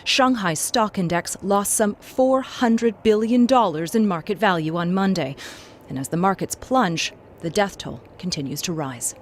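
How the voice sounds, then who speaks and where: A female correspondent narrates a scripted news report. Her voice sits at a medium pitch, measured and formal, with slight noise behind it. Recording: faint background noise.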